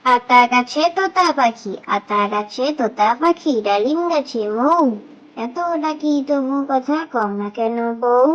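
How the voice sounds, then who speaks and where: A woman with a high voice recites nursery rhymes for children. She delivers them in short, sing-song phrases. The recording sounds slightly dull and lo-fi. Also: slow pace, a little hiss or hum.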